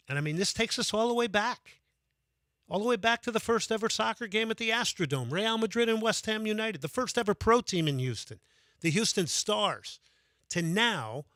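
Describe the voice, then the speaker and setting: A man's voice: on the high side, a bit bright, dry recording, studio quality. Upbeat man hosting a sports call-in show. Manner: enthusiastic, reads out phone number repeatedly. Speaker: radio sports show host. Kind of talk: call-in radio talk.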